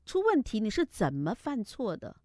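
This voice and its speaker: A woman's voice: on the high side, huge pitch swings, moderate pace, warm tone, no echo, studio quality. A woman teacher giving conversational feedback as a judge, explaining and repeating points for emphasis. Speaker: Mandarin teacher and competition judge.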